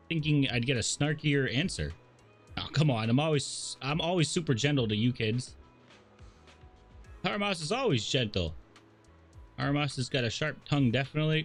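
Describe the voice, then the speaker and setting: Male game streamer, slightly high register, casual read-aloud of story dialogue with stumbles, light music bed. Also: a bit bright. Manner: stumbles while reading, swears casually.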